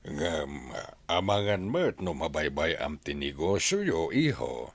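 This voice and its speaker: A man's voice: a bass voice, very animated, takes it slowly, husky, dry recording, full character voice. A man with a Filipino Ilocano accent playing a concerned older father-in-law in a radio drama.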